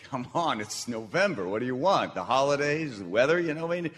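A man's voice: medium pitch, very animated, fairly quick, fairly bright tone. A man playing a brash, self-important radio talk host in a comedic stage radio play. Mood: indignant. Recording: echoey theatre hall with audible noise.

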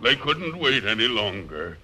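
An actor playing a pompous, mock-solemn wedding official in a 1940s radio comedy. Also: male voice, mid-range voice, very animated, a little slow, bright, forceful tone, full character voice. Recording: old 1940s radio broadcast.

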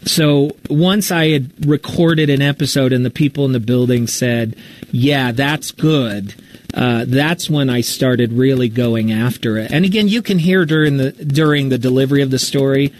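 A man's voice: mid-range voice, moderate pace, darkish tone. A man talking casually and candidly about his own work in a live Q&A, with a self-deprecating manner. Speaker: radio talk show host and podcast storyteller.

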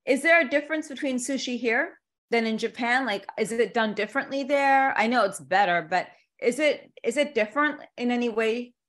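A woman's voice: a little high, normal speed, fairly bright tone. A woman hosting a casual interview, chatting in an informal, rambling and joking way. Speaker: interviewer, podcast host.

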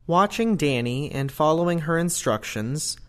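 A man's voice: on the high side, expressive, unhurried, fairly bright tone. A man narrating the scripted stage directions of an audio play. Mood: neutral.

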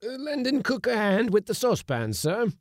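A man acts out a sailor in his sixties from a sea story. He is eager and sheepish, raised well above the narrator's pitch, in a dry, close sound. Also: big pitch swings, moderate pace, no echo, full character voice. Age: 60s.

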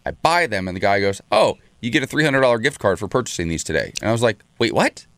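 Chatty low-voiced male host, nasal. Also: quick pace, no echo. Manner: chatty, excitable, restarts words. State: slightly nasal from a cold. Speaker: morning show co-host. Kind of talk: casual chat.

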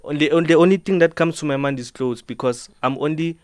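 A man's voice: on the high side, fast, darkish tone, clean tone, no room sound. An eighteen-year-old man, a dancer, answering politely and earnestly in a radio studio, often saying ma'am. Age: teens. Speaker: dancer and fashion subculture member.

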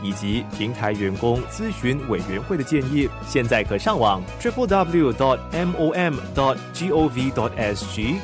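一位带新加坡口音的男播报员，照稿播报广播剧的赞助与宣传信息。. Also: male voice, medium pitch, lively intonation, normal speed, hardly any pauses. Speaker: announcer. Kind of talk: scripted sponsor announcement. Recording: music bed under announcement.

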